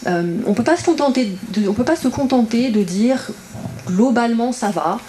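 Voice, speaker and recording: A woman speaking as an invited regional councillor at a public political meeting, in halting phrases with many filled pauses. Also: a little high, expressive, dark tone. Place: indoor public meeting room. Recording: roomy echo, audible background noise.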